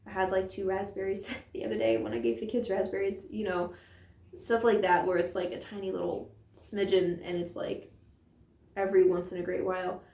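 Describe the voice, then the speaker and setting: A woman's voice: medium pitch, level tone, normal speed, dark tone, distant mic. A mother vlogging in an earnest, rambling way, with a strained voice from a sore throat. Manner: rambling and earnest, many fillers. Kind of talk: candid personal vlog monologue. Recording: roomy echo, voice set back from mic.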